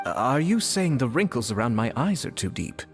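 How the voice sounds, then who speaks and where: A gentlemanly video game character. He is composed and courteous, with a measured delivery at middling pitch over faint music. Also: very animated. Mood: mild. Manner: measured, polite. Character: composed, courteous adult male actor, gentlemanly.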